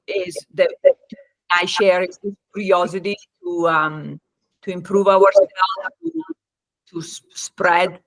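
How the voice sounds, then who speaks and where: A woman with an Italian accent speaking as an interview guest, halting and pausing often mid-thought. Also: fairly low pitch, fairly bright tone, no room sound, low-quality recording. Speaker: interview guest, life coach and psychologist. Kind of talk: conversational interview.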